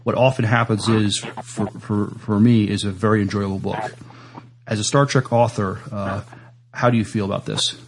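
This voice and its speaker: Creaky low-voiced man hosting an interview. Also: steady tone, slight background noise, rough recording. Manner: halting, stumbling over words. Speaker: podcast interviewer. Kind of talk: interview with prepared introduction and questions.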